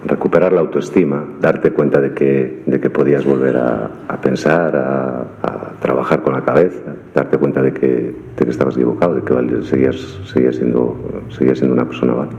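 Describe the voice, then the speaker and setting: Very deep, gravelly man. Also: fairly even intonation, brisk, a little mellow. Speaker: community radio participant. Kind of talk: personal testimonial. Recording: dull playback in echoey hall.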